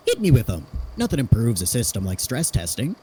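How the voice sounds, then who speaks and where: A man's voice: medium pitch, wildly swooping pitch, fairly quick, a little mellow, a little hiss or hum. A man playing a curious, analytical theorist in an audio drama, conversational and inquisitive.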